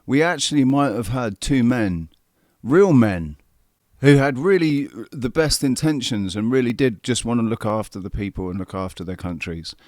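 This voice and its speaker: A man's voice: mid-range voice, animated, normal speed, a little mellow, no room sound, clean studio sound. A man in his forties giving heated, profane political commentary as the host. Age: 40s. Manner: angry, profane, repetitive emphasis. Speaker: show host commenting on a played clip.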